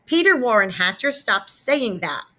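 A woman's voice: mid-range voice, big pitch swings, measured pace, bright, forceful tone, voice pushed up, full character voice. Woman acting a frantic, dramatic storybook mother. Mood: shocked. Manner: dramatic, wailing and hollering. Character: frantic, disbelieving mother of two young boys.

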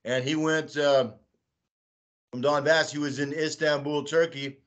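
A man in his sixties from Texas, talking as a guest on a video call from a small room at home, slow and rambling with many "uh" fillers. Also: on the high side, fairly even intonation, fairly bright tone. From Texas, United States. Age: 60s. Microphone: webcam on a video call.

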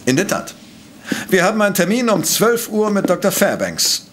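An actor playing a shrewd, self-assured professor who investigates crimes in a German audio drama, with formal, elaborate phrasing. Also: male voice, high-pitched, big pitch swings, normal speed, background noise. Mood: musing, wry. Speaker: detective professor.

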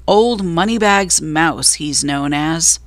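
A woman voicing a no-nonsense male cat in a storybook, dry, direct and gently teasing. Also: deep voice, takes it slowly, dry recording. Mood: dry, impatient.

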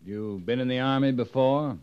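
An American man playing a dry-witted, authoritative cavalry captain in a 1950s radio western, clipped and deadpan. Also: medium pitch, moderate pace, clear, resonant tone, close to an everyday voice. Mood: stern. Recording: dull, noisy old radio recording.

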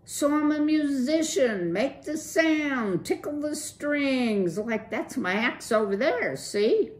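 A woman's voice: high-pitched, animated, takes it slowly, pitched above the performer's own voice. A woman voicing a cool, slang-talking young male city mouse with a laid-back, breezy drawl. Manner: laid-back surfer slang, keeps saying "dude".

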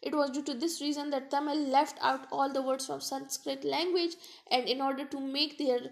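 A woman's voice: high-pitched, steady tone, vocal fry. A woman giving a university lecture over slides, explaining step by step and often restarting or correcting herself. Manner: explains slowly, with frequent restarts and self-corrections.

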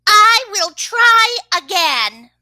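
Little girl pig from a kids book, stubborn n excitable, super high voice, swoopy. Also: no echo, studio quality, heavily acted. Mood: determined. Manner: excitable, slow exclamations. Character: eager, stubborn little girl pig who wants to fly. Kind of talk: children's picture-book read-aloud.

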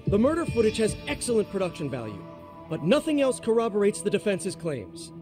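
A man's voice: high voice, big pitch swings, brisk, over music. An actor playing a skeptical, combative prosecutor in his thirties in a courtroom drama game, brusque and challenging. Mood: challenging, scornful.

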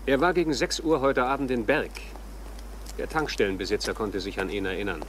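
A male police detective, played by an actor in a crime radio play. He reports in an orderly, matter-of-fact manner at an average pitch. Faint noise runs behind the voice. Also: fairly quick, fairly bright tone, natural voice. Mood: businesslike. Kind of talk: crime radio drama acting.

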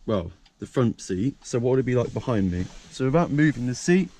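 A young man with a croaky voice, talking casually and rambling with lots of fillers while he films himself showing off his car. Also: medium pitch, big pitch swings, a little fast, mellow, a little hiss or hum. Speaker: car vlogger. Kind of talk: casual point-of-view car walkaround. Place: parked car by a country lane, outdoors and inside the car. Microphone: action camera held by hand.

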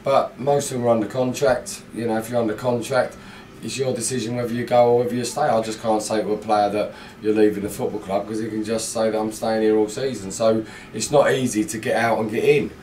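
A middle-aged football manager talks bluntly about a loss, pausing on fillers in a low, flat voice. He is recorded in a small room that rings with echo, heard from a mic set back from him. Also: recorded from a distance, slight background noise. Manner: frustrated, blunt, rambling with fillers. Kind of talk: post-match interview. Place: small indoor club room.

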